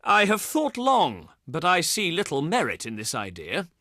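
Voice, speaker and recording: An actor playing a haughty, scornful ancient Greek diplomat in a video game, with a pompous, sarcastic, declamatory delivery. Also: male voice, fairly high pitch, wildly swooping pitch, moderate pace, sharp tone, no room sound, studio quality, exaggerated voice. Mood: dismissive.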